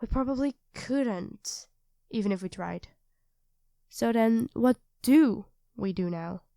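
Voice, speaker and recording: Woman acting as young girlfriend, high-pitched, affectionate, easily flustered, expressive ASMR roleplay delivery, intimate address, very dry close sound. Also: slow, gravelly, no echo. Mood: calmer, resigned. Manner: expressive, tender, speaking intimately to a partner.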